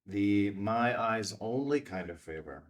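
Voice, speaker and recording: An actor playing a terse, guarded investigator with a dry edge in a screenplay table read. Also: male voice, fairly low pitch, animated, unhurried, recorded from a distance. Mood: brisk, businesslike.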